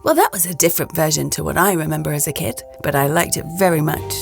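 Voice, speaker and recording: A woman narrating a children's storybook aloud in an expressive storyteller's style. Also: sits low, quick pace, vocal fry, over music.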